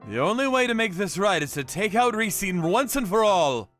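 A boastful, hot-headed young yakuza as a male actor plays him tells an anime war story. His voice is bright, slightly high and very animated, with a combative, emphatic push. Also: moderate pace, soft background music. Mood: grave. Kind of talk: scripted anime explainer dub narration.

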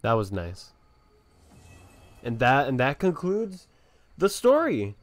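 A man's voice: medium pitch, wildly swooping pitch, slow, no echo. A young man giving casual, rambling commentary as he plays through a video game. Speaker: gaming video host. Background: game music.

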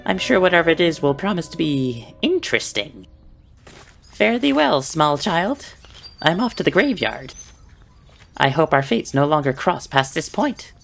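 A performer playing a sly, whimsical male beggar in an animated film, with a theatrical, grandiloquent delivery. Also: medium pitch, measured pace, vocal fry, soft background music, exaggerated voice. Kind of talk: animated film character acting.

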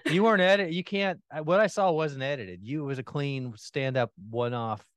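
A man as a podcast guest, talking about gardening with enthusiasm and animated energy, laughing now and then. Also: fairly high pitch, moderate pace, clean tone, dry recording. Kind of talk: casual interview chat. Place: home in Atlanta, remote call.

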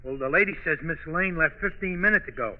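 Man doing regular-joe sidekick in old radio show, highish voice, muffled. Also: fairly quick, lightly acted. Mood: urgent. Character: plain-spoken working-class male sidekick. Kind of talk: 1940s radio drama acting. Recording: dull, reverberant old radio recording.

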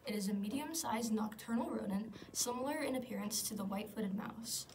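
Teen girl student, steady read-aloud, off-mic with faint hiss. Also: on the high side, brisk, far from the mic. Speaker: student presenter. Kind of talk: school project narration.

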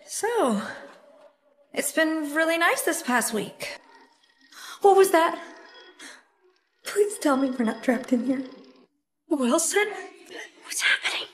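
A woman playing an anxious but plucky, kind-hearted young animator in a horror video game, expressive and often talking to herself. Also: high voice, slow, long pauses, long reverb tail, a little hiss or hum, exaggerated voice. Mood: nervous, frightened. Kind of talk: dramatic horror game voice acting.

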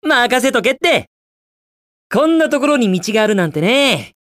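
A man's voice: very high voice, sing-song, moderate pace, a bit bright, no room sound, exaggerated voice. A man voicing a cocky, carefree young hedgehog hero in a video game, with breezy, confident, slangy delivery. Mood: cocky, relaxed.

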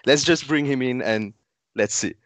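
A man's voice: mid-range voice, normal speed, no room sound. Chatty young male event host. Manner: relaxed, chatty, many fillers and laughs. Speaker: conference host. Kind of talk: casual event hosting. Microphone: headset on video call.

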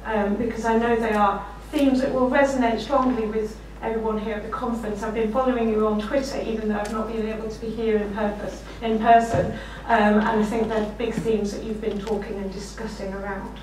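Middle-aged woman, slightly croaky, fairly high voice, keynote lecture, heard from across an echoey room. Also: flat delivery, a little fast, few pauses, far from the mic, slight background noise. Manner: warm anecdotal, self-deprecating, often laughing while speaking. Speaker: keynote speaker. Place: lecture room, standing behind a desk. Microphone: room mic, far from speaker.

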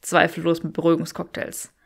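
A woman's voice: low-pitched, monotone, a little fast, gravelly, breathy edge, heavily acted. A woman voicing a proud young man in an audiobook, dry, curt and sardonic.

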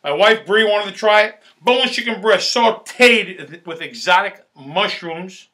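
Middle-aged New man, high and sharp-toned, pushy pitchman energy for a home-made food review show. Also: a little slow. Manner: loud, boisterous, salesman-like, repeats himself. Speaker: food critic host. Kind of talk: restaurant review to camera. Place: small indoor studio in front of a banner backdrop.

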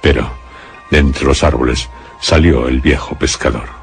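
A man with an accent from Spain narrating a scripted radio suspense story in a solemn, dramatic, deliberately phrased way. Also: extremely low pitch, fairly even intonation, vocal fry, soft background music.

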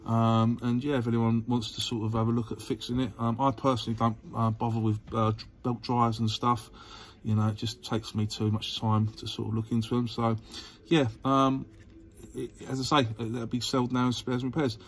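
A man's voice: mid-range voice, little pitch movement, moderate pace, a little hiss or hum. A man giving a casual how-to demonstration as a channel host, rambling and hesitant, often repeating himself. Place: indoors at a workbench.

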